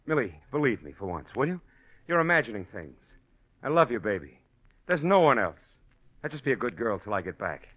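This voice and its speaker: An actor playing a smooth-talking, scheming bigamist husband in old-time radio drama, hurried, evasive and impatient. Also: male voice, medium pitch, sing-song. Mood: placating, evasive. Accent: American. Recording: muffled vintage radio recording.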